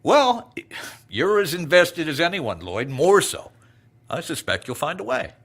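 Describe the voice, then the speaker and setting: A man playing a pragmatic, anxious director of a secret research facility in an audio drama, speaking in measured, formal terms. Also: fairly high pitch, big pitch swings, a bit bright, slightly rough, heavily acted. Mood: grave.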